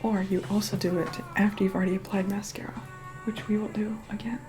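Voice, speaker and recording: A woman playing a reluctant, haughty vampire in a whispered ASMR roleplay, hushed, bossy and begrudging. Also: medium pitch, little pitch movement, fast talker, over music, natural voice. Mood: hushed, instructing.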